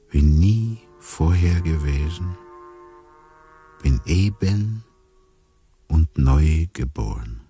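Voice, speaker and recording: A bewildered man with no memory, thinking to himself in a radio drama. The voice is extremely deep and gravelly, dark in tone. He muses in a hushed, wry, detached way. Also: full character voice. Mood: dreamy, wondering. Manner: hushed, musing, often near whispering. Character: bewildered amnesiac man musing inwardly, wryly detached. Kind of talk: radio play inner monologue.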